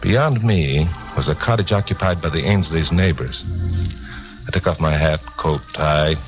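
Male lead for 1950s radio crime serial: low-voiced, self-assured commentator-detective, music on the recording. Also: normal speed. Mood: tense narrating. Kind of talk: 1950s radio drama acting and first-person narration.

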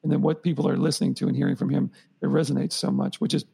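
A man's voice: mid-range voice, little pitch movement, rapid-fire, vocal fry, dark tone, husky. A man co-hosting a podcast, thinking aloud in long rambling stretches and often restarting his sentences. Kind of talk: conversational podcast discussion.

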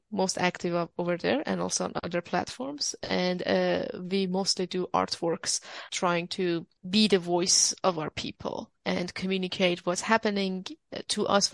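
A woman in her thirties with an Iranian accent and noticeable vocal fry. She explains things earnestly, pausing as she goes. The sound is close and dry, as in a podcast conversation. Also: fairly low pitch, dry recording. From Iran. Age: 30s. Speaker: podcast guest, activist.

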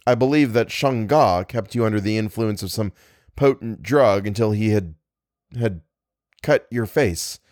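A man voicing a calm, compassionate gentleman who reasons steadily with a frightened friend. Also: on the low side, dry recording, studio quality, natural voice.

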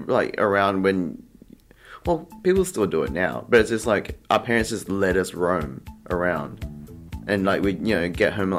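An Australian man chatting casually as an interview guest, telling stories in a rambling, halting way full of filler "like". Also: sits low. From Australia, Sydney. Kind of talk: casual anecdotal chat.

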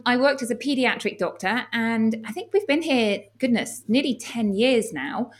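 A woman's voice: on the high side, animated, normal speed. A middle-aged woman with an English accent, a former children's doctor, speaking as a guest on a podcast and explaining things at length in an upbeat, articulate way. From England. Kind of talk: podcast interview.

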